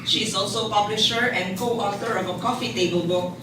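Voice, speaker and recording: A woman acts as program host, delivering formal prepared introductions. Her voice comes from a distance in a very echoey indoor space, with clear noise in the recording. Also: medium pitch, steady tone, a little fast. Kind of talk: formal event hosting, reading prepared introductions. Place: indoor event space at a book launch. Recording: echoey hall from far off, noisy.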